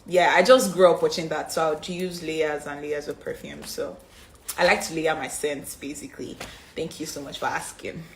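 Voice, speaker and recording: A woman in her twenties with a Nigerian accent, reading out fan questions and answering them in a relaxed, rambling way with plenty of ums. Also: deep voice, normal speed, a little hiss or hum. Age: 20s. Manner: reads fan questions aloud then answers chattily with many ums. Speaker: reality show contestant answering fan questions. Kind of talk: casual live-stream Q&A. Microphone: phone on a live stream.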